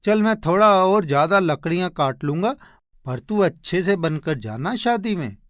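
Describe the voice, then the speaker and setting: Man as poor woodcutter husband, cartoon moral tale, earnest, plain-spoken, higher-pitched, very dry sound. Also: expressive, moderate pace, dry recording. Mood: earnest, pleading. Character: humble poor woodcutter husband, devoted and modest. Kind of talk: Hindi animated moral story acting.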